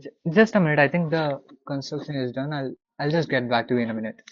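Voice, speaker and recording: A man's voice: medium pitch, dull audio. Hesitant Indian man on a call. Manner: hesitant, halting with many fillers. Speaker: consulting client. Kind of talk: casual video call. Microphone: video call.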